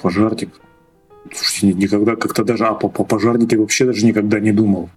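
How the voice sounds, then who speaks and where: A man's voice: fairly low pitch, fairly even intonation, fast talker, slightly rough, soft background music. A man in his thirties from Russia, a podcast guest telling his story in a hesitant way, restarting phrases and laughing often. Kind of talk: casual podcast chat.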